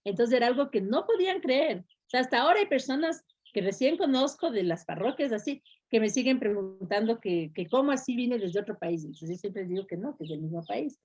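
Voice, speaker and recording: Older woman, fairly high voice, steady explanatory tone. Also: fairly quick, hardly any pauses, husky. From Quito, Ecuador. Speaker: educator and school founder. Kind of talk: interview answers. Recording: muffled lo-fi recording.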